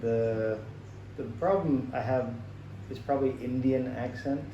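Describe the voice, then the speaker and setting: An American man with a dark, warm tone answers interview questions in an easy, plain-spoken way, leaning on "like" as he thinks. He is heard from across an echoey room, with a faint hiss underneath. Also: medium pitch, unhurried, lo-fi sound. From United States. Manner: relaxed, plain-spoken, many "like" fillers. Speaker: teacher being interviewed. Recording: roomy, distant and slightly noisy.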